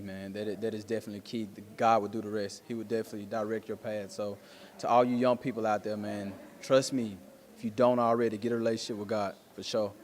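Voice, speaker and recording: Low, even-toned man. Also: a little hiss or hum. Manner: halting, earnest, conversational. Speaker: stage actor being interviewed. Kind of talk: casual interview answers.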